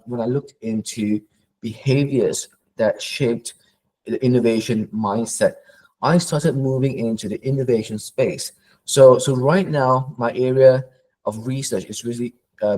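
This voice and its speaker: A middle-aged man from Malaysia, a professor answering interview questions in a thoughtful way, often pausing and repeating words as he goes. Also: medium pitch, warm tone, low-quality recording.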